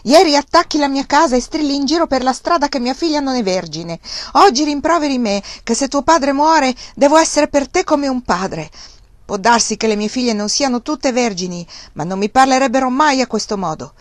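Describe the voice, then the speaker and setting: A woman voicing a greedy old man, a village uncle who turns from shrill and trembling to fawning and wheedling. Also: moderate pace, no room sound, close to an everyday voice. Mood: shrill fury. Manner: shrill and trembling when angry, fawning when begging.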